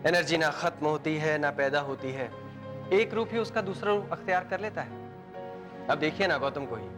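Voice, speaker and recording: Man playing a jovial doctor, slightly high voice, reassuring, joking, film score playing clearly behind. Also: moderate pace, close to an everyday voice. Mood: calm. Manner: reassuring, lightly teasing, mixes English phrases. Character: calm jovial male doctor. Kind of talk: 1990s Hindi film acting. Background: film score music.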